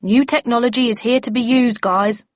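A woman voicing a stern, brisk bellman who chairs a meeting in an officious, declaiming manner, in an audiobook story. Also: on the high side, fairly even intonation, dry recording, muffled recording, voice pushed up, natural voice.

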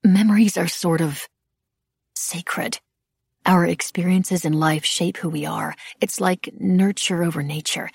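A defiant young witch heroine, voiced by a woman in an audiobook. She sounds earnest and guarded, with a husky voice and a very dry, close sound. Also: lowish voice, steady tone, unhurried, dry recording, exaggerated voice. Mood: earnest, hushed. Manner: earnest, defensive.

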